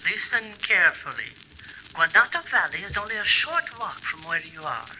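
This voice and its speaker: A woman's voice: mid-range voice, big pitch swings, moderate pace, sharp tone, breathy edge, heavily acted, rough recording. Piercing, imperious radio villainess played by a woman. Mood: coldly imperious. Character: Madame Shade's recorded voice, cold scheming villainess. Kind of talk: old-time radio villain acting. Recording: live stage recording, faint noise.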